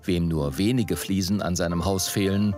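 Low-voiced male documentary narrator. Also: steady tone, moderate pace, darkish tone. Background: faint background music.

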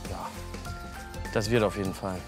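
A man, a dairy farmer, talking in a relaxed way outdoors. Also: on the low side, normal speed, over music. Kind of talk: casual interview.

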